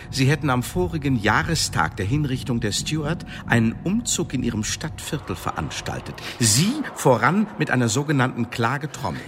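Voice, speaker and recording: Actor as stern interrogator with lively, swooping intonation. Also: male voice, mid-range voice, background noise, close to an everyday voice. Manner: curt, commanding, officious. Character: stern, domineering male interrogator of an Elizabethan secret tribunal. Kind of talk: historical radio drama acting.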